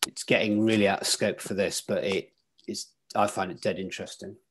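A man's voice: low-pitched, steady tone, a little fast, background noise. A middle-aged man hosting a casual club video call on weather and tides, thinking aloud with fillers and restarts. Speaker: host presenting weather and tides. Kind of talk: casual online club talk.

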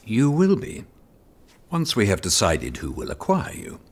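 Casting: a stern, impatient duke in audio drama, very animated bass delivery, condescending. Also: male voice, a little slow, heavily acted. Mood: dismissive. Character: haughty, impatient medieval duke.

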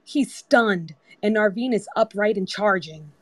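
A woman narrates a screenplay, reading out scene directions. Her voice sits at a middle pitch, and the sound is very dry over a voice-chat app. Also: unhurried, no echo. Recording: online group voice-chat app.

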